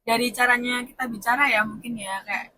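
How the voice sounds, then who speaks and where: A young woman intern telling stories in a lively casual chat. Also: on the high side, fairly quick, far from the mic, poor audio. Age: young adult. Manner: lively storytelling. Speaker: intern guest. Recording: a bit off-mic, faint background noise.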